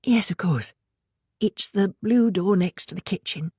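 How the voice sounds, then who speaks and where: A woman's voice: mid-range voice, measured pace, dark tone, husky, dry recording, clean studio sound. A woman reading a tired, subdued mother in a storybook, with a British English accent. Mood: weary.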